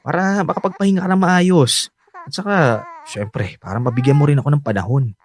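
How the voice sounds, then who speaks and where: A man's voice: a little high, brisk, natural voice. A man playing a tired, needy young husband craving his wife's attention in a Filipino radio drama. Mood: pleading.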